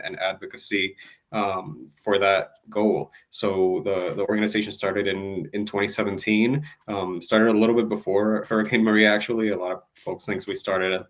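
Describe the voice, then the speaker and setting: A man's voice: fairly low pitch, fairly bright tone. A man from Puerto Rico answering interview questions earnestly, with frequent restarts and fillers. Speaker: guest, advocacy group president.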